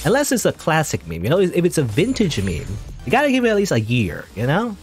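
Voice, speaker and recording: A man's voice: on the high side, huge pitch swings, quick pace, faint music behind. A man giving casual, rambling commentary while playing a video game, often correcting himself mid-sentence. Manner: rambling, chatty, self-correcting. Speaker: gaming channel host. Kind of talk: casual let's play commentary.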